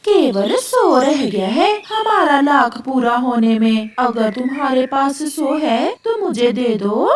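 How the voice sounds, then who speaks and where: A woman voicing a boastful, chatty married female crow in a cartoon, with an excitable, gossipy way of talking. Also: high voice, slow pace, hardly any pauses, exaggerated voice. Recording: echoey, noisy, distant microphone.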